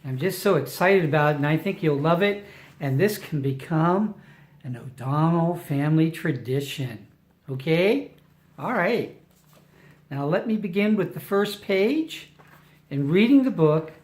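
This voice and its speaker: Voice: medium pitch, expressive, measured pace, a little mellow. An older person reading a storybook aloud to a baby, affectionate and playful, with chatty asides and emphatic delivery. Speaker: family member reading to a baby. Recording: roomy home recording with echo.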